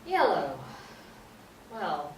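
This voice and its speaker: A woman's voice: lowish voice, sing-song, drawn-out words, a little mellow, exaggerated voice. A woman playing a wry, housebound playwright in a staged play reading. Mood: hesitant, brightening. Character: wry, housebound female New York playwright. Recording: roomy, distant mic, faint noise.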